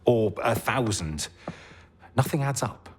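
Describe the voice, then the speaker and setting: An actor playing a measured, dry intelligence officer giving a briefing in a video game cutscene. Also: male voice, medium pitch, animated, slightly rough. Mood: dry, thoughtful. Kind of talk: cinematic video game acting.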